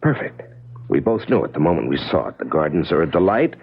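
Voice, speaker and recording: Gravelly, troubled husband. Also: male voice, very deep voice, big pitch swings, a little fast, full character voice. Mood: soothing. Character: impatient, troubled, affectionate American husband. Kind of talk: radio drama acting. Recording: dull lo-fi radio drama recording.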